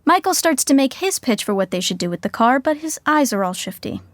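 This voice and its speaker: A woman's voice: high voice, big pitch swings, a little fast, a crowd in the background, noisy background. A woman playing a wry, chatty narrator who teases along in a comic podcast sitcom. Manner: wry, conversational, teasing.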